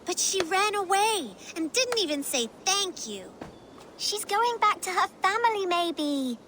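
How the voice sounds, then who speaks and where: Bold game kid, cheerful and insistent, measured delivery, high voice, faint noise behind. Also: female voice, lively intonation. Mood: playful. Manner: insistent, confident, argumentative. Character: bold, bossy, cheerful little Viking child.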